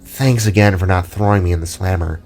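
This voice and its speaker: A man voicing a small, mischievous, warm-hearted female frog vigilante with an informal, affectionate way of talking. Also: low-pitched, brisk. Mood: sad, grateful. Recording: music under the voice.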